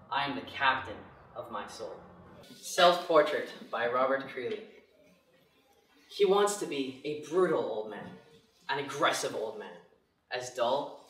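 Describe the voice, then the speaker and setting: A man recites poetry with measured, declamatory phrasing. His voice has a medium pitch and comes from some distance, with strong room echo around it. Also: expressive, sharp tone. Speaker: poetry reader. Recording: echoey room, distant mic.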